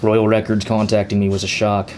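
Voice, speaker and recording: A remorseful young son, read by a man in his twenties speaks in an audiobook. His voice sits low and stays flat and even, and he confesses quietly without raising it. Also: a little fast, slight background noise, lightly acted. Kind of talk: audiobook character reading.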